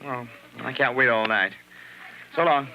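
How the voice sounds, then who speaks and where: An actor playing an evasive, tense husband torn between two women, brusque and dramatic in 1940s radio style. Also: male voice, medium pitch, unhurried, muffled recording, background noise, lightly acted. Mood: restless. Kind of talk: 1940s radio melodrama acting.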